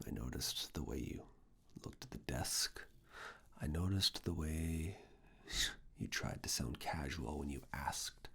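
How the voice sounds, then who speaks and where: A man performing an intimate ASMR roleplay as a stern, teasing professor, speaking deliberately in a breathy whisper and low murmur. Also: fairly bright tone. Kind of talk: ASMR personal attention roleplay.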